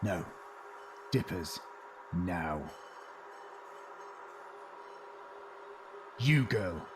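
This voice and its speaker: A man's voice: low-pitched, animated, very slow, full character voice. A man playing a callous, domineering Victorian factory boss in an audio drama, curt and contemptuous. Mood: cold command. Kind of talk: fan audio drama acting. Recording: clear noisy ambience behind.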